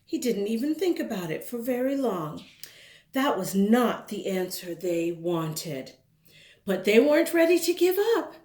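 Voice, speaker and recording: A woman reading a picture book aloud to children in an expressive way, with conversational asides. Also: mid-range voice, measured pace. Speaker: storybook reader, host. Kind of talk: animated read-aloud for children. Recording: roomy indoor echo.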